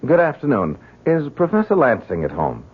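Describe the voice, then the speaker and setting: An American actor playing an earnest, suspicious young English instructor in an old-time radio drama, speaking in a polite, hesitant, probing way. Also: male voice, medium pitch, wildly swooping pitch, moderate pace, narrow, tinny audio, background noise. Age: young adult. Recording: thin, band-limited vintage radio recording.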